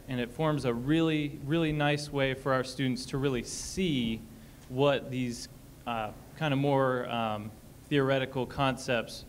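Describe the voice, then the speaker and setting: A man's voice: mid-range voice, slight background noise. Man explaining on a panel stage. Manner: explanatory, frequent ums, reads a passage aloud. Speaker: college staff panelist. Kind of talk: panel discussion talk. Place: stage in a college auditorium.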